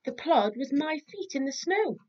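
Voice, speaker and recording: A woman's voice: sits high, big pitch swings, moderate pace, darkish tone, dull audio, voice pushed up. A woman voicing a patient, reassuring grown male bear in a children's storybook, coaxing in a singsong way.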